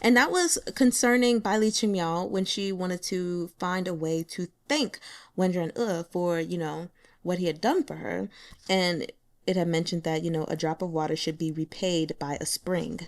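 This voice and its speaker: A young woman chatting casually about a novel she is reading, animated, laughing often and acting out her own reactions. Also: lowish voice, normal speed. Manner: carefully spells out a Mandarin phrase syllable by syllable. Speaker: audiobook reader sharing chapter notes. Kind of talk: casual chat.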